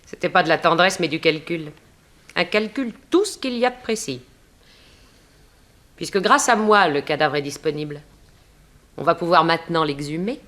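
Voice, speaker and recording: A woman's voice: deep voice, expressive, normal speed, long pauses, fairly bright tone. An actress playing a mocking, composed young mistress blackmailing her older lover in a 1960s radio drama. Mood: mocking, calculating. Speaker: actor.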